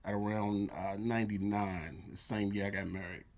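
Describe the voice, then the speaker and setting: A man's voice: lowish voice, normal speed. American man in his forties telling stories. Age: 40s. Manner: relaxed storytelling with frequent fillers. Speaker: church elder and saxophonist. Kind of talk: casual interview conversation.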